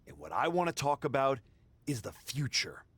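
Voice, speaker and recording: An actor playing a cocky, vengeful pro wrestling villain in a video game, boastful and taunting. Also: male voice, mid-range voice, big pitch swings, normal speed, a bit bright, husky. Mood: smug, boastful.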